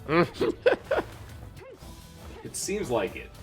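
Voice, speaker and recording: A man's voice: medium pitch, wildly swooping pitch, extremely slow, a bit bright, faint music behind. A man chatting casually over gameplay as a co-commentator.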